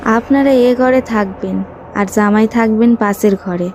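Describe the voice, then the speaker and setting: A woman voicing an eerie possessed village wife, seductive and menacing, with drawn-out words and a rural Bengali dialect, in a horror cartoon. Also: fairly high pitch, a little mellow, soft background music. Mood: cold, commanding. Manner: slow, drawn-out delivery.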